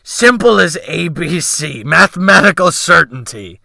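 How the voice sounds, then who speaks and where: Man acting loud, blustering old seaman. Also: fairly high pitch, sing-song, a little slow, creaky, no echo, pitched above the performer's own voice, exaggerated voice. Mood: scornful, bellowing. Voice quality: loud, bellowing. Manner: snorting, salty colloquial exclamations. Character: gruff, excitable red-faced old seaman, scornful and blustering. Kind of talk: dramatic audiobook character acting.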